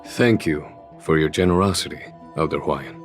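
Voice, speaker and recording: An actor playing a suave, wryly diplomatic commander in a video game, speaking politely and with dry humour. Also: male voice, extremely low pitch, moderate pace, faint music behind. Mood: wry, gracious.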